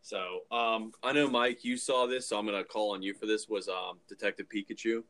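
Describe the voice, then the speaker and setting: A man in his thirties with a slightly low voice, hosting a relaxed podcast chat. He talks informally and with emphasis, giving his opinions like he is among friends. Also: a little fast. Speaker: podcast host. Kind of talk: casual chat.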